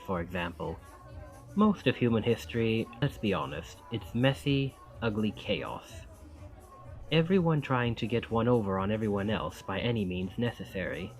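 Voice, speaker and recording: A man's voice: fairly low pitch, poor audio. A man acting a charming, friendly young college student in an intimate ASMR roleplay, with a self-deprecating, thoughtful and wry manner.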